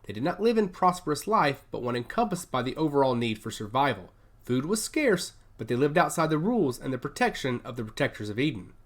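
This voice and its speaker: A man reading scripted science fiction narration in a measured, dramatic storytelling manner. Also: medium pitch, very animated. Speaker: audiobook narrator.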